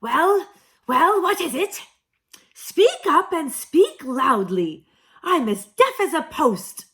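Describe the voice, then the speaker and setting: A woman's voice: sits high, sing-song, slow pace, voice pushed up, exaggerated voice. Woman doing a scratchy, grumpy old owl. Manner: cross, loud and curt. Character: grumpy sleepy old male owl, deaf.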